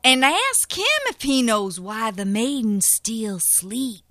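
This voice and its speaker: A woman's voice: a little high, sing-song, pushed and bright, a little hiss or hum, full character voice. Woman doing grumbling storybook squirrel. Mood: grumpy. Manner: grumbling, slow and cross. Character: grumpy sleepy male squirrel.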